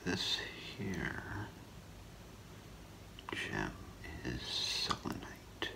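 A middle-aged man whispering softly and haltingly in an ASMR role play as a gemologist talking a client through an appraisal. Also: big pitch swings. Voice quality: breathy low whisper with gravelly creak. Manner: hushed, unhurried, halting, explaining gently to a client. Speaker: ASMR performer playing a gemologist. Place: small indoor room, seated before a curtain.

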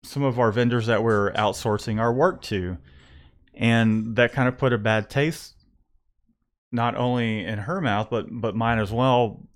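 A man's voice: mid-range voice, fairly even intonation, a little slow. A man in his thirties, a print shop owner, talking casually about his business into a close studio mic, rambling and restarting his thoughts. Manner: rambling, restarts often, thinks aloud. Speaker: screen printing shop owner and podcast host. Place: small print shop room. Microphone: studio condenser mic close up, headphones.

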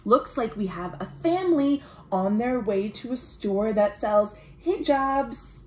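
A woman, reading a children's picture book aloud in an expressive, deliberate way as a storytime host. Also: a little high. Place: small room at home, indoors.

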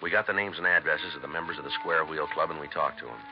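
An actor playing a terse, deadpan American police detective in a low-key 1950s radio drama. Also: male voice, fast talker, over music. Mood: matter-of-fact. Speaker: police sergeant and narrator. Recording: dull, noisy 1950s radio recording.

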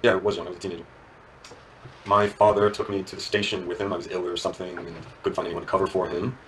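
A man as an earnest, low-voiced lawman. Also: little pitch movement, fast, far from the mic, a little hiss or hum, natural voice, rough recording. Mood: wistful, intent. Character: quietly intense lawman recalling his youth, earnest, probing. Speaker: law enforcement agent. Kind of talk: naturalistic drama dialogue.

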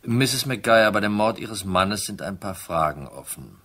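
An actor playing a hard-nosed, dry and insistent insurance detective in a German crime radio play. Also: male voice, lowish voice, lively intonation, unhurried, fairly bright tone. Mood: politely pressing. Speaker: narrator and lead actor.

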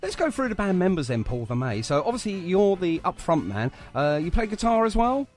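A man's voice: high-pitched, very animated, fairly quick, soft background music. A man chatting casually and enthusiastically as he hosts a radio interview.

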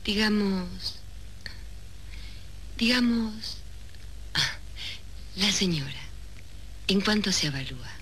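Ambitious young soap woman, dramatic and deliberate, sharp bright voice, noisy old tv audio. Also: mid-range voice, lively intonation. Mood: cold, calculating. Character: ambitious, cold young woman from a humble neighbourhood. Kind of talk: 1970s television soap opera acting. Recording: noisy old 1970s TV soundtrack.

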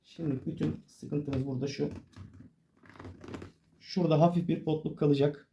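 A man's voice: a little high, steady tone, moderate pace, background noise. A man giving a casual hands-on tutorial, explaining informally step by step as he works. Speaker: DIY tutorial presenter.

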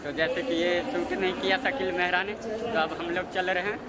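A man's voice: sits high, fairly even intonation, moderate pace, loud music behind the voice. A young man, a native Maithili speaker, chatting casually to his phone as he walks, narrating his vlog in an informal, repetitive way. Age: young adult. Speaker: vlogger. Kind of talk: casual vlog chat to camera. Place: outdoors by roads and inside a large busy mall. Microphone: phone at arm's length. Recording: loud street and mall ambience, handheld. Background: loud urban ambience and vehicles.

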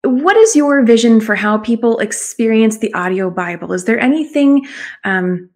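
A woman's voice: fairly high pitch, lively intonation, moderate pace. A woman in her forties hosting a conversational interview, speaking in an encouraging, affirming way. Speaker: show host, confidence coach. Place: small room at home, on a video call.

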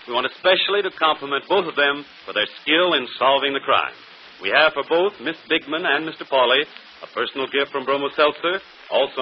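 A man's voice: medium pitch, lightly acted. A man playing a brilliant, self-assured detective in 1940s radio drama style, articulate and theatrical. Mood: genial, presenting. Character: brilliant, self-assured male detective explaining his solution. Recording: muffled, noisy old radio broadcast.

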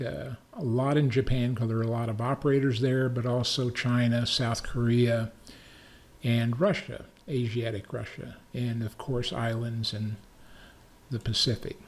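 A man with an American accent narrating a screencast software tutorial in a measured, methodical, step-by-step way. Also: mid-range voice. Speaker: tutorial presenter, amateur radio operator.

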